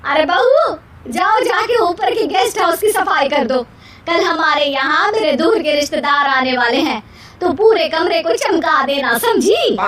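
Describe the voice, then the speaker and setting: Woman doing bossy old mother in law for a moral story, high pitched, scolding and wailing, bit far from mic. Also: lively intonation, a little slow, echoey room, exaggerated voice. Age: older. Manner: loud, complaining, melodramatic.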